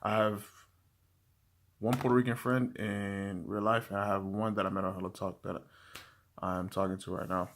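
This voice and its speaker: A young man giving casual language-learning tips to camera, talking in a relaxed, rambling way with many fillers and restarts. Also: lowish voice, moderate pace. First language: English.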